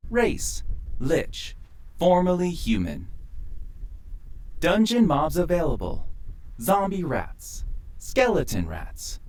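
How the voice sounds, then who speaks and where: A man voicing an impersonal game system in an audio drama, announcing in a formal, deliberate way. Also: on the high side, wildly swooping pitch, darkish tone, full character voice, low-quality recording. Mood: formal, listing.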